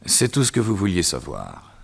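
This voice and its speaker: An actor voicing a gloating, theatrical villain who is a doctor and hypnotist in a vintage radio drama. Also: male voice, low voice, huge pitch swings, normal speed, gravelly, breathy edge, exaggerated voice. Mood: coldly smug. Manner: sneering, theatrical, laughs at his own cruelty.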